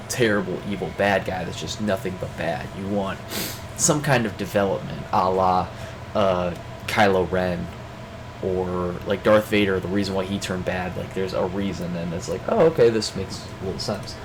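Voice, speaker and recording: A man's voice: deep voice, normal speed, background noise. A young man with a stuffed-up nose, speaking off the cuff in a casual daily check-in and rambling with lots of fillers and restarts. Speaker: vlogger.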